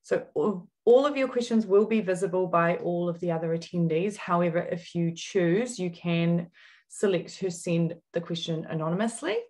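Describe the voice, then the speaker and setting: A woman's voice: lowish voice, moderate pace, a little mellow. A woman hosting a webinar on a video call, explaining the Q and A and introducing the speaker. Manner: friendly, instructive, some fillers. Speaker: webinar host. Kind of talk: event housekeeping and speaker introduction. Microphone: computer mic on video call.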